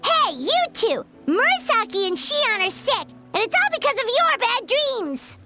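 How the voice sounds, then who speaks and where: A woman's voice: very high-pitched, big pitch swings, a little slow, heavily acted. A woman voicing a tiny floating female sprite companion who is chirpy and refers to herself in the third person. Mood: scolding. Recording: game audio with music underneath.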